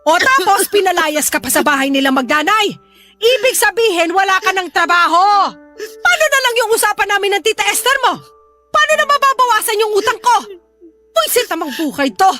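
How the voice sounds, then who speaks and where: A woman's voice: very high voice, very animated, fairly quick, bright, forceful tone, full character voice. A woman playing a harsh, hard-drinking, scolding mother in a Filipino radio drama, blunt and confrontational. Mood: furious. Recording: music behind the voice.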